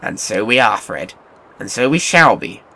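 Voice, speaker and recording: An actor playing a reformed elderly miser, now a kindly and apologetic gentleman, with formal old-fashioned courtesy in an audio drama. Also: fairly low pitch, very animated, normal speed, creaky, slight background noise, heavily acted, rough recording. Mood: warm, repentant.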